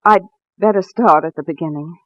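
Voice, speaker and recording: A woman's voice: on the low side, a little fast, a bit bright, no echo, lightly acted. An American woman acting an anxious, devoted young wife in a dramatic, pleading 1940s radio style. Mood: subdued. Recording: muffled vintage 1940s radio recording.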